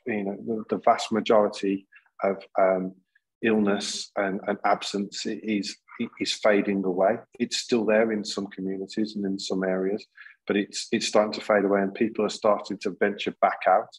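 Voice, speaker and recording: A man's voice: on the low side, flat delivery, normal speed, slightly rough, poor audio. A man in his thirties on a video call, speaking earnestly as a panel guest, often restarting and repeating words. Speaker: panel guest, school leader. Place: plain indoor room.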